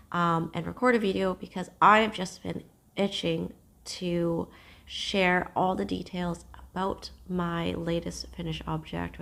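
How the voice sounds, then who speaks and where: A young woman sits at home and chats to camera for her knitting podcast. She is hoarse from a cold, and her low voice has a gravelly, fry-heavy edge. She talks gently and takes her time, pausing as she thinks. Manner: gentle and unhurried, with thoughtful pauses. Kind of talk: casual chat to camera. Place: at home, seated.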